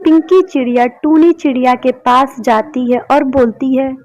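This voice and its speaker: A woman's voice: high-pitched, a little slow, darkish tone. A woman narrating scripted children's stories, with muffled, dull sound.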